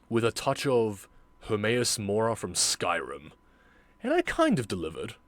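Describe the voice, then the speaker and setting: A man's voice: lowish voice, dry recording. A man giving casual, reflective and self-critical commentary as a video creator and amateur voice actor reacting to his work.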